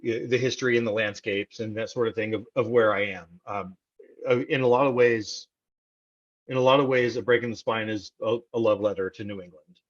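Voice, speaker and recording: American man, halting talker, dull audio. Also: on the low side, fairly even intonation. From Texas, United States. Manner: halting, repeats words as he thinks aloud. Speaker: interview guest, poet. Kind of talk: casual conversational interview.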